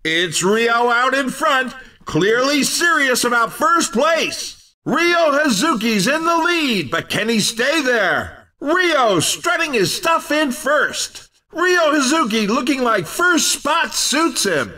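An actor playing an excited, upbeat race commentator in a video game, calling the action in punchy exclamations. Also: male voice, very high voice, slow, far from the mic, heavily acted. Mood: hyped, exuberant. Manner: exuberant, punchy, exclamatory announcing. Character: hyped-up, upbeat male racing game commentator.

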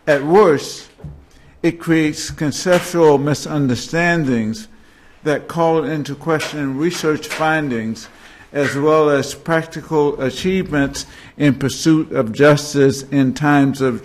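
A middle-aged man introducing a speaker at a podium microphone in a large hall, carefully reading a passage aloud. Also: fairly high pitch. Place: large university lecture hall.

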